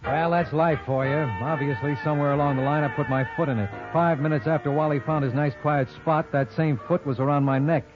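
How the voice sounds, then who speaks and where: An actor playing a brash, breezy, wisecracking lawyer in a glib, sardonic 1950s radio detective drama style. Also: male voice, a little high, fairly even intonation, a little fast, few pauses, over music, lightly acted. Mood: wry narration. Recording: dull, noisy old 1950s radio recording.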